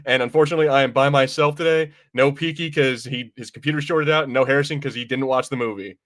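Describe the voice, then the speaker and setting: Rambling male podcast host, mid-pitched. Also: fairly even intonation, fast, a bit bright. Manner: rambling, informal, self-correcting. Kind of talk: casual chat.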